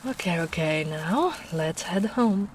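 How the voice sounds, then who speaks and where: A young woman playing a gentle, protective female ogre in a soft-spoken ASMR roleplay, speaking slowly and soothingly with quiet breathy asides. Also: on the low side, expressive, slight background noise.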